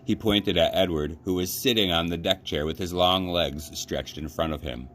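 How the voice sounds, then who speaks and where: A man reading a children's story aloud as an expressive narrator, like a librarian at story time. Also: sits low, normal speed, a little hiss or hum.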